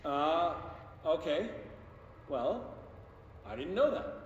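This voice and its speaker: A man's voice: a little high, animated, slow pace, highs cut off. A man playing an awkward, defensive office clerk and fanboy in a video game, rambling and whiny. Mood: nervous, defensive. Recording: distant, very echoey hall, faint noise.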